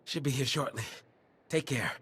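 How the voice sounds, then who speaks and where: A man voicing a weary wounded soldier in a video game, earnest and halting as he reports. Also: medium pitch, a bit bright, slightly rough. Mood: grateful, subdued. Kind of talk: video game cutscene acting.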